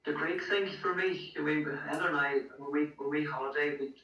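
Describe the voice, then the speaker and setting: A man's voice: a little high, fairly even intonation, fairly quick, pushed and bright, echoey room, far from the mic, dull audio. A man as a guest in a conversation, talking earnestly and encouragingly, often repeating words. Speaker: guest, strongman coach. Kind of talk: casual interview chat.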